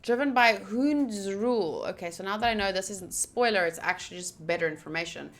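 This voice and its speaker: A woman doing casual playthrough commentary of a visual novel, reading game text aloud and thinking out loud with excitable energy. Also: medium pitch, fairly quick. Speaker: streamer playing a visual novel.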